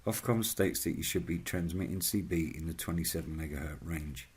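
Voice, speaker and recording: A man with a husky voice reading a scripted narration as a channel host, in a formal, measured manner. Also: low voice, darkish tone.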